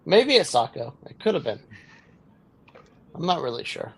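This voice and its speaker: A man in his thirties chatting casually, quiet and halting, with a husky voice. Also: fairly high pitch, big pitch swings. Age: 30s. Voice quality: husky, gravelly voice. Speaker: guest. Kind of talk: casual chat. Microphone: poor mic.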